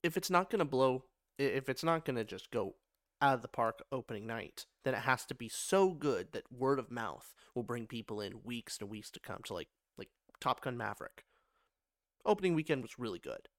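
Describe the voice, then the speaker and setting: Lively young man, self-mocking podcast host. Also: mid-range voice, moderate pace, dry recording, clean studio sound. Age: young adult. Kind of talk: casual podcast chat.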